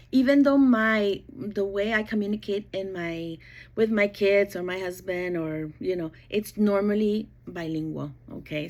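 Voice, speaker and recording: Middle-aged texas border lady, bilingual spanish english, chatting to her viewers, kinda rambly, medium pitch. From El Paso, Texas. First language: bilingual Spanish and English from childhood. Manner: chatty, rambling, often checking in with "okay" and "you know". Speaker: YouTube cooking channel host. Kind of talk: casual self-introduction to viewers.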